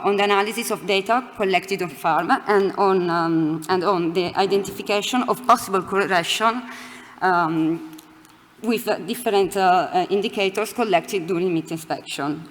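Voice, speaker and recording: Halting woman speaking in a reverberant hall. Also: mid-range voice, a bit bright. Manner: halting, many fillers, searching for words. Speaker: conference presenter answering questions. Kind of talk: hesitant academic Q&A. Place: lecture hall. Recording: hall reverb, faint room noise.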